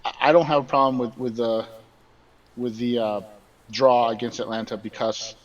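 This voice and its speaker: A man's voice: mid-range voice, steady tone, normal speed. Man, emphatic sports co-host, trips over repeated words; sounds far off and dull, like a remote line. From Mexican background. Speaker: podcast co-host. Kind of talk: casual sports chat. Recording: dull distant remote audio.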